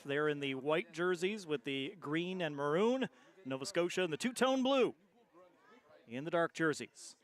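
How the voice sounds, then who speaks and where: Male play-by-play announcer for live sport, slightly high-pitched voice. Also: a bit bright. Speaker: play-by-play commentator.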